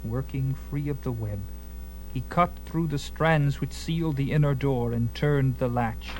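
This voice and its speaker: A man's voice: medium pitch, measured pace, poor audio. A man narrating a fantasy adventure in a theatrical, suspenseful and emphatic style, with music behind him.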